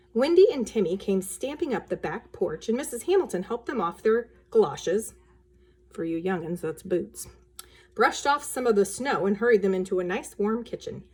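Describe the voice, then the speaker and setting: A woman reading a children's story aloud in an expressive narrating style, with casual asides. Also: medium pitch, husky.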